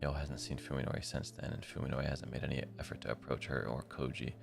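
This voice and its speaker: Very deep, gravelly male streamer over music. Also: level tone, fast. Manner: slangy, informal asides. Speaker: live streamer. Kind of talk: reading a visual novel aloud with casual commentary.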